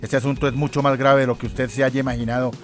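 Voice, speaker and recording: Man acting a brisk, stern priest. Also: medium pitch, level tone, faint music behind, close to an everyday voice. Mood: stern, impatient. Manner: peremptory, curt, formal. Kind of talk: audio drama acting.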